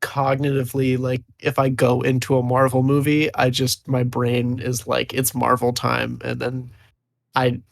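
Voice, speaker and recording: Young male co-host, stuffy nose, gravelly, dry sound, low-key and unsure. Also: monotone, measured pace, no room sound. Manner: hesitant, hedging, low-key. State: stuffy, sniffly from allergies. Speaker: podcast co-host. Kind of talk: casual film review chat.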